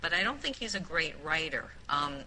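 Very bright-voiced woman discussing a book. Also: deep voice, sing-song, low-quality recording. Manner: halting, repeats words, emphatic. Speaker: book club member who picked the book. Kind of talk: informal spoken book review in a group discussion.

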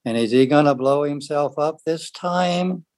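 A man talking casually in a book discussion group, in a halting, rambling way. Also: a little high, darkish tone, dry recording. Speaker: book club participant.

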